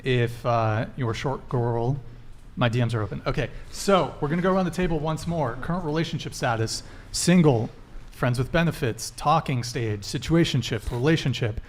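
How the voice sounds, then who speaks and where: A man's voice: medium pitch, slight background noise. Casual male talk show host questioning guests. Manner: quick follow-up questions, repeats answers back.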